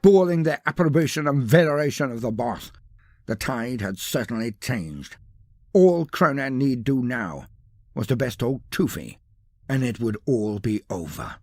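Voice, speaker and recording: A man delivering dramatic scripted narration of a fantasy battle story, theatrical, with deliberate pauses and emphasis. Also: medium pitch, lively intonation, darkish tone, dry recording, clean studio sound.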